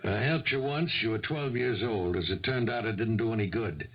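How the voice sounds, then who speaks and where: An actor in a 1970s radio mystery playing a world-weary older police lieutenant who talks in an insistent, colloquial, streetwise way. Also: male voice, on the low side, moderate pace, husky. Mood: patient pressure. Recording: muffled old radio broadcast recording.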